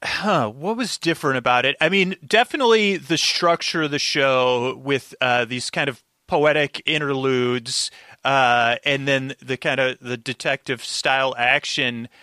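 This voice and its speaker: Bright-voiced man rambling in casual conversation. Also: mid-range voice, no echo, clean studio sound. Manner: halting, rambling, circles back mid-sentence. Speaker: podcast guest, podcast creator. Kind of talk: casual interview chat, storytelling.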